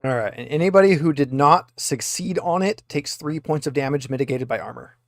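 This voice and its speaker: A man's voice: on the high side, fairly quick, no room sound, studio quality. A man acting as game master, talking players through dice rolls and rules in a tabletop roleplaying session.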